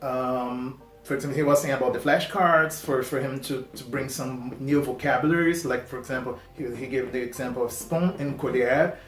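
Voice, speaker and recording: Male host, native Portuguese speaker, accented English, mid-pitched, loose halting delivery, echoey room with soft music underneath. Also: steady tone. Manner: halting, many fillers and restarts. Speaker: host reacting to a video. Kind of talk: casual reaction commentary. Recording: roomy echo, faint music.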